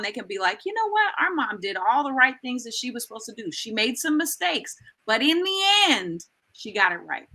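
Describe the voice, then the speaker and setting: A woman in her late forties co-hosting a casual live talk show. Her voice is strikingly bright and sits a little high. She talks emphatically, hammering points home by repeating her phrases. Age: 40s. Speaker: co-host. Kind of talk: casual chat.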